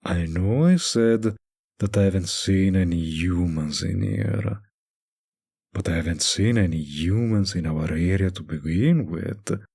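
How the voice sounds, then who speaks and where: A man playing a centuries-old vampire bartender in an intimate audio roleplay, teasing and smugly charming, drawling with long pauses in a breathy, gravelly voice. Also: low-pitched, animated, darkish tone, heavily acted. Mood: sympathetic, admiring.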